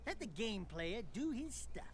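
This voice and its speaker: An actor playing a cocky but cowardly wisecracking little animal sidekick in a comic video game cutscene, boastful and whiny. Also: male voice, high voice, very animated, a little slow, slight background noise. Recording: loud game music behind.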